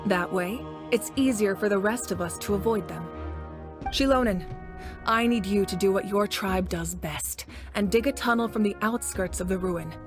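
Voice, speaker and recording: Video game commander, played by a woman: calm, authoritative female leader, measured delivery, music underneath. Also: medium pitch, close to an everyday voice. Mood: composed, resolute. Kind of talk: scripted video game voice acting.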